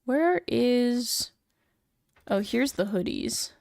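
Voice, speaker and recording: A woman's voice: fairly high pitch, animated, drawn-out words, gravelly, darkish tone. Chatty young woman streamer. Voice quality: feminine voice. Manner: rambling, filler-heavy, chatty. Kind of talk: casual chat while working on a model.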